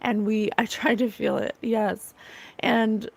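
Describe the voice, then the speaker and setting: A fairly high-pitched woman with a gravelly, slightly rough and raw voice. She hosts a spiritual livestream in a casual, chatty way. She talks earnestly and gushes about love. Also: fairly even intonation, slow pace. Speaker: psychic medium livestream host. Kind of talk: casual livestream chat reading comments.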